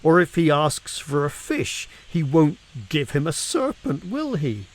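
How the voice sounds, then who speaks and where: Slow, deliberate man reading scripture. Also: a little high, big pitch swings. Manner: measured, deliberate, emphatic with pauses. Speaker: reader of scripture.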